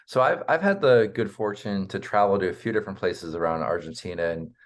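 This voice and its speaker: A man hosts an interview podcast in a loose, easygoing way, pausing on fillers as he builds his questions. His voice is on the low side. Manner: relaxed, hesitant, many ums. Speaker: podcast host. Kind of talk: casual interview hosting.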